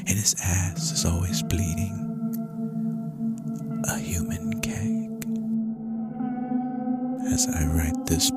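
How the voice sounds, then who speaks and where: A man playing an ancient, hungry vampire creature in a horror story, with a breathy rasp and a slow, menacing, theatrical delivery. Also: deep voice, voice pushed down, full character voice. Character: ancient predatory vampire creature, sinister, hungry, contemptuous of humans. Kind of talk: dramatic horror story reading. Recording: loud music under the voice.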